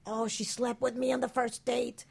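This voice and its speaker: A woman's voice: on the high side, level tone, normal speed, vocal fry, a little mellow, slightly rough. A woman chatting casually and candidly as a panelist, blunt and emphatic, repeating herself to make a point. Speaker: talk show panelist.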